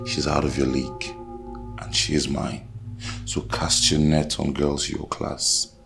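A man voicing an arrogant rich man who talks down to a cook in slow, threatening words. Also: rumbling low, level tone, gravelly, over music, pitched below the performer's own voice, full character voice. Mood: menacing. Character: arrogant rich man, rival boyfriend, contemptuous.

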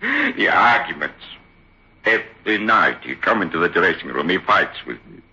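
An actor playing an agitated Italian stage ventriloquist, complaining insistently in broken, Italian-accented English in a radio drama. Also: male voice, deep voice, animated, normal speed, bright, forceful tone, exaggerated voice. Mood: indignant. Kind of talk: old-time radio drama acting. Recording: dull old radio recording.

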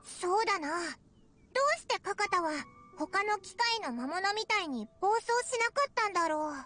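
Very squeaky little fairy girl. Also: very animated, moderate pace, bright, forceful tone, full character voice. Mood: curious, puzzled. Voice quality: squeaky, childlike. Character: tiny floating fairy girl, curious childlike companion. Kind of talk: Japanese anime game voice acting. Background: game music.

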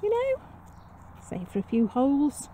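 High-voiced British woman chatting, noisy outdoor audio. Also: huge pitch swings, unhurried, dark tone. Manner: chatty, informal, self-deprecating. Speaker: gardening vlogger. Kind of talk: casual rambling garden tour to camera. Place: allotment garden and greenhouse. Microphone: handheld camera's built-in microphone.

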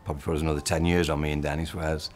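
A man's voice: rumbling low, level tone, rapid-fire, slight background noise. A middle-aged man, a factory worker recalling his years there in plain, homely words. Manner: plain-spoken, homely turns of phrase. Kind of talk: casual interview recollection. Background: music.

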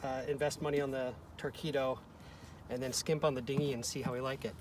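Relaxed man chatting at the dock. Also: medium pitch, a little fast. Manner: relaxed, explains with fillers. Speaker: sailing vlog co-host. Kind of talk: casual chat. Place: outdoors at a dock and in a dinghy. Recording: wind and water noise.

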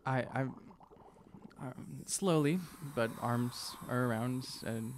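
A man's voice: mid-range voice, very slow, slight background noise. A young man in casual improvised roleplay at a tabletop game, hesitant, on a studio microphone. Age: young adult. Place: small studio room indoors. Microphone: microphone on stand.

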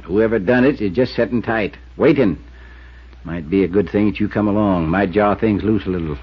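A folksy, stubborn small-town constable in a 1950s radio play. His husky voice has a rural American drawl and a laconic, understated manner. The old recording is muffled. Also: on the low side, normal speed. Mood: troubled, reflective.

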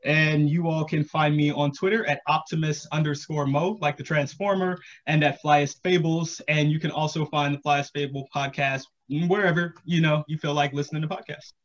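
A man's voice: a little high, fairly even intonation, moderate pace, hardly any pauses. A man in his thirties talking casually on a panel video call, thoughtful and encouraging as he hedges his advice. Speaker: podcast creator, panel guest. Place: small home room indoors, video call. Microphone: computer video call.

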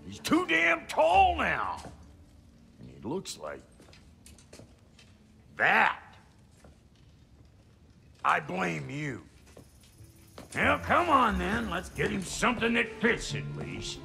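An actor playing a crude, cranky, foul-mouthed dwarf blacksmith with a gruff, folksy, blustering way of talking. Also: male voice, high voice, huge pitch swings, very slow, long pauses, sharp tone, soft background music, exaggerated voice. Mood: exasperated.

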